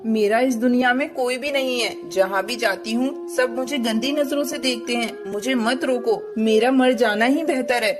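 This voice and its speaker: A woman's voice: a little high, normal speed, hardly any pauses, close to an everyday voice. A woman voicing a lonely, despairing female crow in a cartoon, pleading in anguish. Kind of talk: cartoon dubbing. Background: music.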